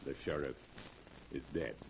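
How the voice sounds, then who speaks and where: An actor playing a scheming lab man with plans to rule the world, speaking in smooth, measured, coldly calm tones in 1940s radio-drama style. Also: very deep voice, noisy background, exaggerated voice. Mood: cold menacing. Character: scheming male lab assistant turned megalomaniac, cold and calm.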